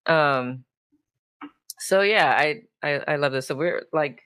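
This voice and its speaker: A woman's voice: sits low, takes it slowly, fairly bright tone, clean tone, no room sound. A woman hosting a casual, chatty interview show in an effusive, informal way. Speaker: talk show host.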